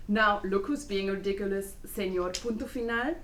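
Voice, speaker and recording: A woman acting a snobbish, fiery pregnant Cuban wife in a dramatic play reading, expressive, with Spanish exclamations mixed in. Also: mid-range voice, normal speed, natural voice. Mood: snobbish, sarcastic. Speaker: student actor. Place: indoor room, live play reading. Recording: echoey room with faint background noise.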